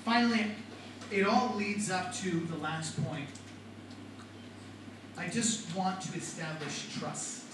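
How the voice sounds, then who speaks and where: A middle-aged man teaching a classroom lecture on rhetoric, lively and asking the class questions. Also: high voice, slow pace, pauses often. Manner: animated, talks to the class with questions. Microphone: far from the mic. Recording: echoey classroom from far off, noisy.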